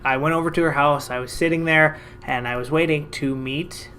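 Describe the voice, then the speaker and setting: A man from Canada, a financial advisor, telling a story to camera in a casual, lively, self-mocking way. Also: a little high, fairly quick, a little hiss or hum.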